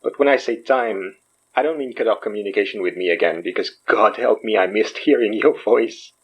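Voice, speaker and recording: A man's voice: on the low side, brisk, breathy edge, highs cut off. An actor playing a wry, self-deprecating man in a naturalistic audio drama, joking and rambling as he talks. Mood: shaken, earnest. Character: wry, self-deprecating man, recently freed from possession, fake guru healer.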